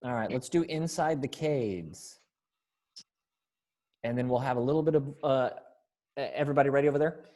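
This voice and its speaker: A man in his thirties leads a group rehearsal over a video call. His voice sits at a medium pitch, and he talks casually, with plenty of encouragement and praise. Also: normal speed, long pauses, a little mellow. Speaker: director and narrator of a readers theater rehearsal. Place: indoor room on a video call. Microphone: headset earphones on a video call.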